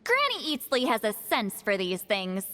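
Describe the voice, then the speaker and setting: A sassy, proud young female seer from a video game. Her high voice swoops expressively, brash and teasing. It carries a long reverb with music behind it. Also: wildly swooping pitch, moderate pace, bright, forceful tone, exaggerated voice. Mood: confident, explaining. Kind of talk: animated video game cutscene acting.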